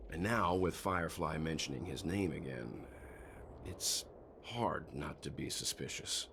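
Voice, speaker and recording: A very deep-voiced, thoughtful gentleman. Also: animated, gravelly, noisy background, heavily acted. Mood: suspicious, pondering. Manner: measured, reflective, articulate. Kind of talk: video game story dialogue. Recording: loud game music behind voice. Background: loud music.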